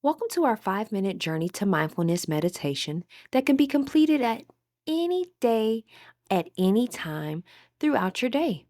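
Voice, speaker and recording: A woman leading a guided meditation in a measured, instructive way, with drawn-out phrases and audible breaths. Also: mid-range voice, big pitch swings, a little mellow, no echo, studio quality.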